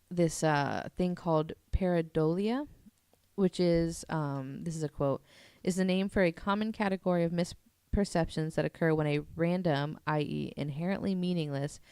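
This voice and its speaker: Young female podcast co-host, low gravelly voice, chatty with many fillers, dry close sound. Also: moderate pace, darkish tone, no echo. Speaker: podcast co-host presenting research. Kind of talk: casual chat.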